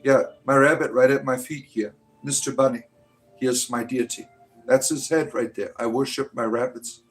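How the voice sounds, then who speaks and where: A man's voice: medium pitch, steady tone, normal speed, soft background music, rough recording. A man in his late forties hosting a livestream, rambling loosely and reading out viewer comments, often ending with "right?". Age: 40s. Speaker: livestream host.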